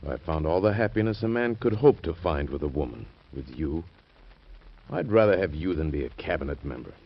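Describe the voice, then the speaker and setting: An actor playing a devoted, honorable husband and cabinet member in an old radio drama, speaking tenderly and earnestly. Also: male voice, rumbling low, lively intonation, a little fast, breathy edge, heavily acted. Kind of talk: 1940s radio acting. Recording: dull lo-fi old radio recording.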